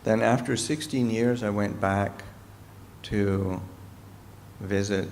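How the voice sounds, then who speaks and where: Middle-aged man, slow halting talk, gravelly low voice, echoey hall with a bit of hiss. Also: steady tone, a little mellow. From Canada. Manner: slow, halting, thoughtful speech with pauses. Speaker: Buddhist monk and teacher. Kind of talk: Dharma talk Q&A answer. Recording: reverberant hall with faint noise.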